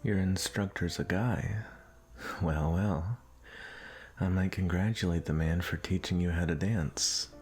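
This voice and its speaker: A man playing a smooth, possessive prince who flirts and coaxes in a romantic audio roleplay. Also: low voice, a little slow, a little mellow, soft background music. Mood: flirtatious, coaxing. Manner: suave, flirtatious, coaxing. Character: smooth, possessive suitor prince courting his arranged bride.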